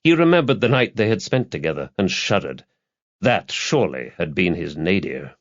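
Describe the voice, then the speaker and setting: A man's voice: low-pitched, very animated, moderate pace, lo-fi sound. A man reading a crime novel aloud as an audiobook narrator, in a scripted literary style.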